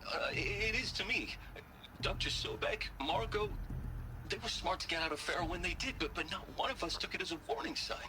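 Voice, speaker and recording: Guilt-ridden male engineer for game drama: earnest, stammering, slightly high voice, noticeable background noise. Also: lively intonation, a little fast. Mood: nervous regret. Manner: hesitant, stammering, repeating words. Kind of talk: video game voice acting. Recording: clear background noise, vehicle-like hum.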